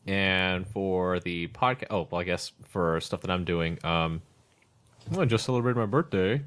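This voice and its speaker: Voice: deep voice, moderate pace, a bit bright, smooth. A podcast host chatting casually in a rambling, jokey way, often self-correcting. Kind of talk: casual chat.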